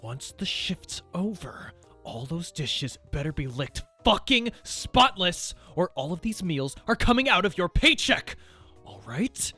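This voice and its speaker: Guy doing snooty chef boss, slow and condescending, lively pitch, faint music behind. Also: slightly rough, heavily acted. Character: arrogant male chef, cold and demanding. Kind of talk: voicing game dialogue during a playthrough.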